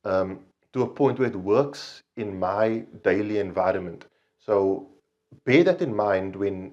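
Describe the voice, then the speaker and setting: A man's voice: on the low side, highs cut off. A man, slightly nasal from a cold, earnestly explaining his gear to the camera in a casual review. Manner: earnest and explanatory, frequent ums, hand gestures. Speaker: vlog host reviewing gear. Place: small indoor room against a plain wall.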